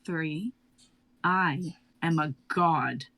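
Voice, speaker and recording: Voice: mid-range voice, very slow, bright, forceful tone, full character voice. A performer reading a fearless sorceress in a live drama reading, cool, cutting and self-assured. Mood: confident. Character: fearless female sorceress, claims to be a god.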